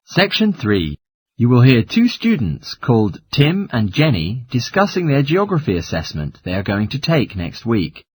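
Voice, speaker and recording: A man's voice: medium pitch, huge pitch swings, moderate pace, slightly rough. A man reading scripted listening-test instructions as an exam narrator.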